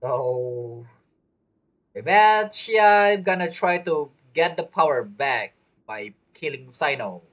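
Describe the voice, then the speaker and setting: Lively young man streaming games. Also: on the high side, slow. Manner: informal, wry reacting. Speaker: gaming streamer. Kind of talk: casual live commentary over a game.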